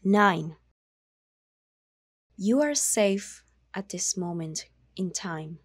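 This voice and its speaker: A woman narrating a guided meditation in a soothing, measured manner, leaving long pauses between instructions. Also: fairly low pitch, lively intonation, husky, no room sound, studio quality.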